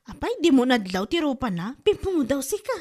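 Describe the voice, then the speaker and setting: Woman playing a shrill gossipy parlor owner. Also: very animated, normal speed, darkish tone. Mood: teasing gossip. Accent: Ilocano. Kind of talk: comedy drama acting.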